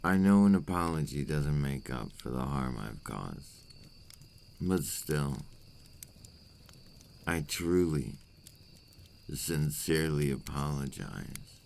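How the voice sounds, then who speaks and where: A man playing a brooding, remorseful male vampire in an intimate ASMR roleplay, speaking in a deep, gravelly voice with slow, formal phrasing. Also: animated, a little mellow, slight background noise, full character voice. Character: remorseful adult male vampire, brooding and tormented by his curse.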